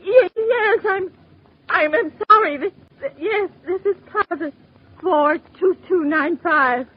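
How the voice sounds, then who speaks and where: An actress in a 1940s radio drama playing a frantic, nervous invalid wife who stammers, pleads and demands, close to tears. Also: female voice, very high voice, takes it slowly. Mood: shaken. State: distraught, near tears. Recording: dull, noisy 1940s radio recording.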